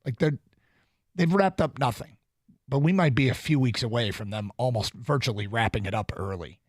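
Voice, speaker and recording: A man hosting sports radio in casual chat, animated and quick to laugh. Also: medium pitch, dry recording, clean studio sound. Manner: animated, restarts often, laughs. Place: radio studio.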